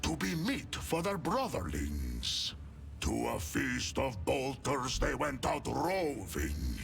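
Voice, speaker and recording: An actor voicing a huge, feral and proud space warrior in a video game, with a deep guttural rasp and slow, poetic, archaic declamation. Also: male voice, huge pitch swings, music in the background, exaggerated voice. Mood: solemn saga-telling.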